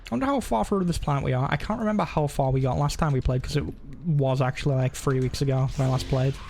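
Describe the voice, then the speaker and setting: A man's voice: mid-range voice, fast, creaky, a little mellow, a little hiss or hum. A man chatting casually on a live game stream, rambling and often interrupting himself.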